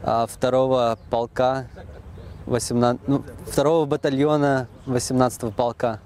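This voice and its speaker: Flat-voiced young army officer. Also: male voice, medium pitch, moderate pace. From born in Russia, raised in Texas. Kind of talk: casual interview answers.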